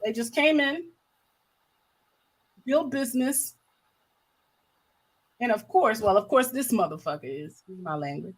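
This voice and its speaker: American lady livestreamer with a high voice, casual but really impassioned, repeats stuff to drive it home. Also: lively intonation, measured pace, long pauses, slightly rough, rough recording. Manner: emphatic, repeats phrases for stress. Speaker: livestream host.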